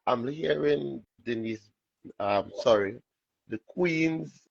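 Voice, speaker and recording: A man with a Trinidadian accent and a raspy voice, telling animated stories as a guest in a casual interview. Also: medium pitch, slow pace, no echo, highs cut off. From Trinidad and Tobago. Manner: animated storytelling, laughs often. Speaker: soca artist guest.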